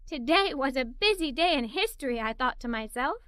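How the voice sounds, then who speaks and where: A woman playing a curious, excitable young girl who narrates a children's storybook in an animated, expressive way. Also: sits high, a little fast, dry recording, studio quality. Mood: bright, curious. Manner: animated, expressive, wide-eyed. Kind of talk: scripted children's story read-aloud.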